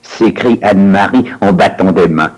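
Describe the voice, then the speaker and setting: A man narrating and reading dialogue for a language course in a measured, announcing way. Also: low voice, a little mellow, breathy edge, low-quality recording. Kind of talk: scripted language lesson narration.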